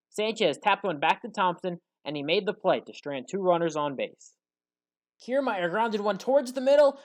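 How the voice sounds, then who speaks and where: Woman doing sports highlight commentary, scripted recap, factual play by play, medium pitch. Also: a little mellow.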